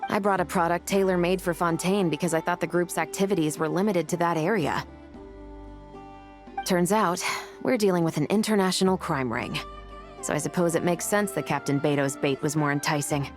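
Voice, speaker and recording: A woman's voice: lowish voice, fairly even intonation, fairly quick, soft background music, close to an everyday voice. A woman playing a shrewd, skeptical investigator in a video game, speaking in a dry, matter-of-fact way.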